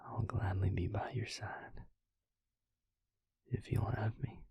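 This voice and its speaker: A man playing a gentle, devoted vampire lover in an intimate roleplay audio, speaking tenderly and haltingly in a breathy, gravelly near-whisper. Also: fairly low pitch, no room sound, exaggerated voice. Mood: touched, devoted. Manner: slow, tender, halting delivery.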